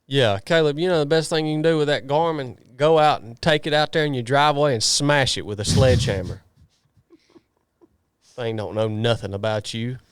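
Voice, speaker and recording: Southern man, smooth clear voice, dry sound; playful, teasing co-host banter. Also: mid-range voice, normal speed, dry recording. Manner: joking, mock-indignant ribbing of listeners. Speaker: podcast co-host. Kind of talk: casual podcast banter.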